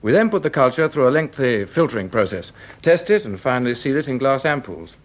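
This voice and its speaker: A man's voice: medium pitch, very animated, fast talker, close to an everyday voice. An actor playing an obliging, earnest scientist in a radio drama, speaking politely and precisely as he explains things. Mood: obliging, explanatory.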